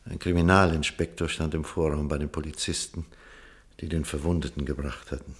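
A man playing a reserved, cynical middle-aged doctor in a German radio drama, speaking in a measured, formal way. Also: very deep voice, steady tone. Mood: grave narration. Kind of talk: German radio drama acting with first-person narration.

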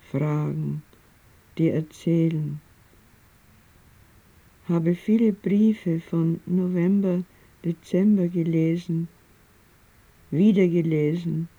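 Lady reading poetic prose really slowly, kinda low voice, short broken phrases, measured delivery. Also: warm tone, breathy edge, highs cut off. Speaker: reader of a literary text. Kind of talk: poetic literary recitation.

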